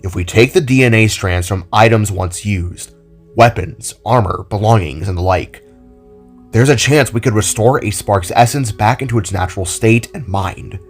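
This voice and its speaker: Calm scarred big brother, low and measured, read by a man for an audio drama. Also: husky, faint music behind. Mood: earnest. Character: scarred older brother, earnest and composed.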